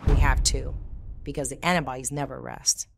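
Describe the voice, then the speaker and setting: A woman's voice: extremely low pitch, steady tone, normal speed, vocal fry, noisy background. A woman voicing a confident, caring young woman who leads a cartoon hero team in a comic dub. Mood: earnest, encouraging. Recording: music playing under the voice.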